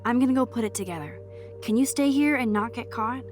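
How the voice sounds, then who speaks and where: A woman's voice: a little high, a little fast, a little mellow, natural voice. A young American woman playing a wry, nerdy, curious student girl in a video game, with a quippy, musing delivery. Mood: urgent, excited. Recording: music behind the voice.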